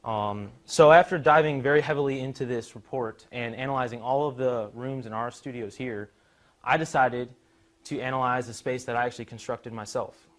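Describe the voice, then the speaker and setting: A man's voice: mid-range voice, fairly even intonation, normal speed. A young man from the Chicago suburbs giving an informal, conversational student presentation, explaining technical material. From Chicago suburbs, USA. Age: young adult. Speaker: university student presenter, musician and drummer.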